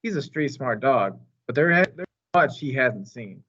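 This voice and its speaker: A man reading a children's story aloud as narrator, in an expressive storytime style. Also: medium pitch, normal speed, slightly rough, highs cut off. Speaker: storytime reader.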